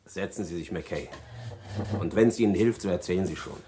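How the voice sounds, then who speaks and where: An actor playing a calm, patient male prison warden in a 1960s German radio drama, speaking soothingly. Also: deep voice, normal speed, close to an everyday voice. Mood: coaxing. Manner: calm, placating. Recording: echoey, noisy vintage radio recording.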